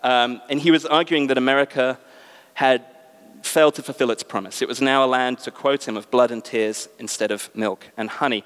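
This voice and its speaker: A man's voice: mid-range voice, steady tone, a little fast, fairly bright tone, smooth. A man with a British accent giving articulate, lecture-style opening remarks as moderator of a public debate, with frequent ums. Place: large exhibition hall with an audience. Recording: long reverb of a large hall.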